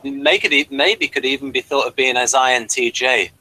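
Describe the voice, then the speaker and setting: A man's voice: mid-range voice, steady tone, fairly quick, pushed and bright, rough recording. A man hosting a casual, conversational discussion as a podcaster. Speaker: podcast host. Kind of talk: casual discussion of TV and personality types.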